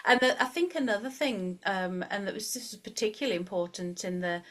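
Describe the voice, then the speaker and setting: A woman hosts a podcast in relaxed, chatty conversation, often restarting her sentences and tagging questions onto them. Her voice sits at a middling pitch for a woman. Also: brisk. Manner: chatty, many fillers and restarts. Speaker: podcast host. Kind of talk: casual interview chat.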